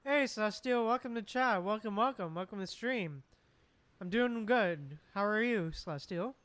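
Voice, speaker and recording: A man's voice: high voice, moderate pace, a bit bright, smooth, dry recording. A man giving casual, informal live commentary as he streams a game and greets his chat. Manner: informal, chatty. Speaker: game livestreamer. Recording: game music behind the voice.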